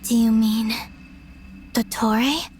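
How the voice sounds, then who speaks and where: A woman playing a gentle, guarded moon goddess in a video game, speaking earnestly in a measured, soft way. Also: on the high side, steady tone. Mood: guarded, questioning. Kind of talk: dramatic video game dialogue.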